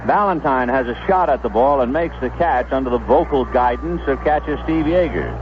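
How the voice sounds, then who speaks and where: A man doing live radio baseball play-by-play, describing the action in measured phrases with pauses between them. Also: medium pitch, expressive. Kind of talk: live radio sports commentary. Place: stadium broadcast booth. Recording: muffled 1970s AM radio broadcast, background noise.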